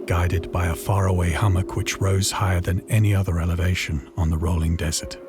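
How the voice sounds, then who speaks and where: A man playing a haunted castaway, narrating a horror tale in a measured, solemn, literary manner for a game. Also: deep voice, level tone, lightly acted. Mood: solemn, weary. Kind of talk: scripted dramatic narration of a horror story. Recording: loud music under the voice. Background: loud music.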